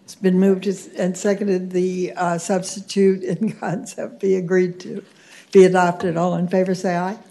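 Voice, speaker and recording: A woman chairing a legislative committee hearing, briskly calling for motions and announcing votes. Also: fairly low pitch, level tone, dark tone. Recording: faint background noise.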